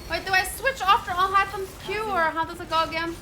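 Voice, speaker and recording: A woman streaming a video game, thinking aloud in a casual, chatty and expressive way. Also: very high voice, fast talker, noisy background. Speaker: gaming streamer.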